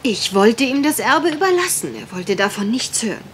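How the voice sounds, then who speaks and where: A woman's voice: on the high side, sing-song, normal speed, slight background noise. A woman acting a troubled, polite young heiress in a German radio crime drama, confiding and a little hesitant.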